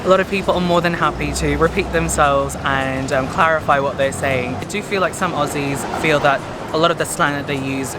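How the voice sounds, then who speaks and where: A man's voice: on the high side, a little fast. A man from Hong Kong with a Hong Kong English accent, vlogging casually outdoors and chatting to viewers. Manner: chatty, addresses viewers as "you guys", many "um"s. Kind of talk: casual vlog to camera. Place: outdoors in a city street. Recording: loud outdoor street noise. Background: loud traffic and street noise.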